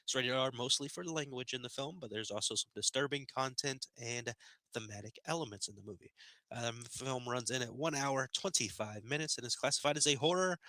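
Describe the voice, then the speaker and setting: A man's voice: medium pitch, a little fast, bright, forceful tone, dry recording. A man hosting a movie review channel, talking in a laid-back, chatty way with a slightly nasal voice as he gets over a sickness. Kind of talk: casual spoken film review. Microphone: headphones on, desk podcast mic.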